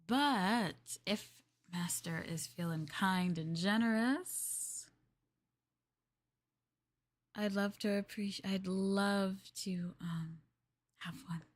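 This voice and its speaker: A woman livestreamer in casual roleplay chat, playing a polite, chatty maid and often dropping into soft, breathy near-whispers. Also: medium pitch, takes it slowly, pauses often. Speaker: VTuber livestream host.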